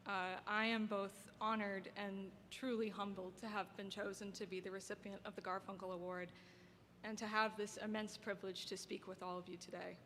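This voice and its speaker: A soft-spoken young woman giving an earnest, heartfelt graduation speech as an award recipient, at a podium microphone. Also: medium pitch, little pitch movement, moderate pace. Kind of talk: formal prepared speech. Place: ceremony hall. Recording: long hall reverb, faint background noise.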